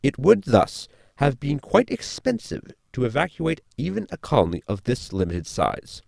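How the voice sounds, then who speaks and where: An actor playing an erudite, pedantic crew member in a science fiction audio sitcom, speaking in a formal, lecturing way. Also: male voice, medium pitch, huge pitch swings, moderate pace, gravelly, a little mellow, no echo, clean studio sound, exaggerated voice.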